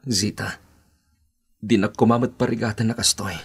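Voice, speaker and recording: Radio drama role: cornered, evasive young boyfriend, Ilocano accent, deep husky voice. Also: male voice, steady tone, normal speed, heavily acted. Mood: apologetic. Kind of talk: Philippine radio drama acting.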